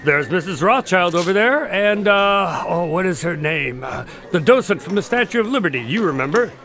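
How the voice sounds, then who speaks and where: A man's voice: high voice, expressive, moderate pace, fairly bright tone. A man acting a genial, courteous doctor hosting a party in a radio drama, polite and a bit fussy. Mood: polite, reassuring.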